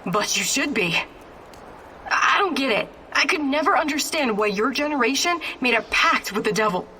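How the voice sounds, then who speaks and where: A woman's voice: sits high, expressive, moderate pace, pushed and bright, slight background noise, poor audio. An actress playing a fiery, impatient young female rebel fighter in a video game, blunt, combative and bitter. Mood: defiant. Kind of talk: video game character acting.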